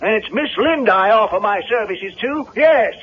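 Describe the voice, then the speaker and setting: An actor playing a defiant, pious old family manservant in a 1970s radio drama. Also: male voice, high-pitched, animated, moderate pace. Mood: defiant, solemn. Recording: dull, faintly noisy vintage radio recording.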